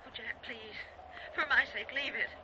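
An actress playing an estranged wife in a radio play, with a dramatic delivery. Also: female voice, fairly high pitch, normal speed, sharp tone. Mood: frightened. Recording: dull lo-fi radio recording, background hiss.

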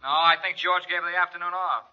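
A man's voice: high-pitched, flat delivery, brisk, close to an everyday voice. An actor playing a curt, cool insurance office man in a 1940s radio drama. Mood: cool, evasive. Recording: muffled vintage radio telephone voice.

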